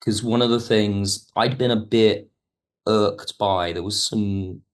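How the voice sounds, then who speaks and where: A man's voice: fairly low pitch, steady tone, takes it slowly. A man speaking as a writer guest in a reflective podcast interview, circling back and rephrasing as he explains. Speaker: guest, audio drama writer.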